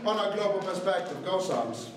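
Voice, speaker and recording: A young man from Greece giving a brisk, sarcastic competitive debate speech. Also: high voice, animated, background noise. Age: young adult. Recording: echoey room, distant mic.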